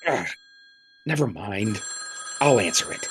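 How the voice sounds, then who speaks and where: Audio play role: rattled, wry male guest, hesitant and exasperated, very lively pitch, background noise. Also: a little slow. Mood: anxious. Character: exasperated, anxious hotel guest, easily rattled.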